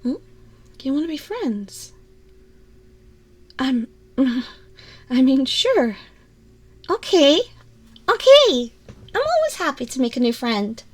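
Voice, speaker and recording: A tiny furry creature girl, acted by a woman for an ASMR roleplay, with a very high voice and a slow, halting delivery. She is timid and apologetic, stammering as she pleads her case directly to the listener. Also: big pitch swings, exaggerated voice. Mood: hesitant, touched.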